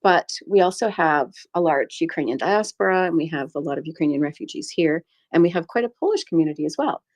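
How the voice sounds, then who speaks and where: A woman's voice: deep voice, animated, fast, darkish tone. A middle-aged Canadian woman who runs a small shop, telling a personal story in an earnest, reflective way with frequent laughs. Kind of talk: casual chat on a live audio space.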